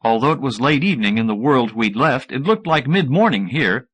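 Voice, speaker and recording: Man narrating fiction, animated pitch. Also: fairly quick, husky. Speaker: audiobook narrator. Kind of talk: scripted fiction narration with character voices. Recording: 1980s audiobook cassette recording.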